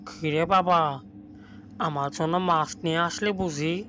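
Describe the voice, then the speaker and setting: A man voicing a hungry old ghost with a grandfatherly, drawn-out way of talking in a cartoon folk tale. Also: fairly high pitch, fairly even intonation, a bit bright, slight background noise. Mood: menacing, teasing. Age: older. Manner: slow, drawn-out, addressing others as child. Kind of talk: Bengali animated folk-tale voice acting. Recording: muffled audio under music.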